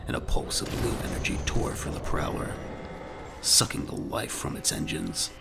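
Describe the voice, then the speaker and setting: Very deep, gravelly man narrating dramatically. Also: lively intonation, moderate pace, very noisy. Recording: music bed under the voice.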